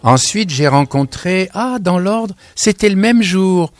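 Male radio presenter, mid-pitched and very animated, chatty, digressive, close dry studio sound. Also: slow, no echo. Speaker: radio host. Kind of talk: lively radio show presenting and interviewing. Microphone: studio broadcast microphone.

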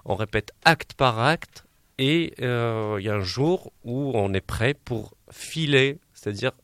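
A Moroccan-born man whose first language is Arabic, an opera baritone and director, answering radio interview questions with many hesitations and restarts. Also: lively intonation, takes it slowly, a bit bright, clean tone, no room sound. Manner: baritone singer who places his voice.

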